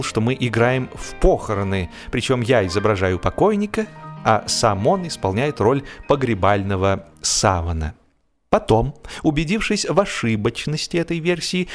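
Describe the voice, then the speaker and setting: Male storyteller with music behind him. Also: mid-range voice. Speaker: narrator of a humorous audiobook. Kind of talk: expressive scripted narration of a comic story.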